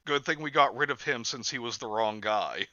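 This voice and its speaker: A man's voice: medium pitch, moderate pace, sharp tone, dry recording, muffled recording. A man co-hosting a casual conversation in a jokey, mocking manner. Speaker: podcast co-host. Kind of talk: casual chat.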